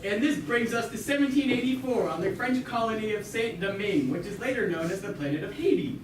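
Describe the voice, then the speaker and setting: A woman's voice: medium pitch, fairly quick. A woman reading comic scripted narration as the narrator of a show. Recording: echoey distant recording with clear noise.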